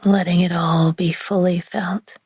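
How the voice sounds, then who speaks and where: A woman leading a guided relaxation meditation in a gentle, soothing, hushed manner with long pauses between phrases. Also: fairly low pitch, level tone, slightly rough, no room sound. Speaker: yoga nidra meditation guide.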